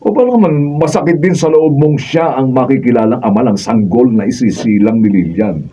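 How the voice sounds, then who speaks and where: A Filipino man acting a level-headed, reproachful man with a husky voice in a radio drama. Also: mid-range voice, normal speed, mellow, close to an everyday voice, rough recording.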